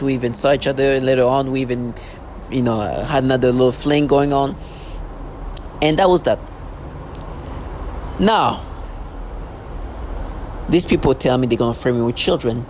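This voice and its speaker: A man's voice: mid-range voice, normal speed, long pauses, darkish tone. 30s guy vlogging, keeps repeating himself, worked up, bit of car noise in the back. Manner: rambling, repetitive, agitated. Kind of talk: unscripted monologue to camera.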